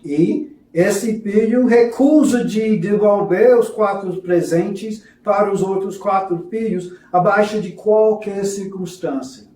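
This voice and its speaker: This is a man with a foreign accent giving a religious lesson in a halting, apologetic way. His voice is notably high for a man and rather mellow. He sits back from the microphone in a room with clear echo. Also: slight background noise. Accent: foreign, non-native Portuguese. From foreign to Brazil, a self-described gringo. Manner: halting, hesitant in Portuguese, apologetic. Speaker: religious teacher presenting a course. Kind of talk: lesson with storytelling. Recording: echoey room, distant from mic.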